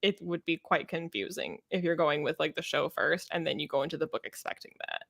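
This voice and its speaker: Female podcast co-host, somewhat low pitch, dry recording; opinionated, emphatic, informal book talk. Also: animated, a little fast, creaky, a bit bright, dry recording. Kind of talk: casual book discussion.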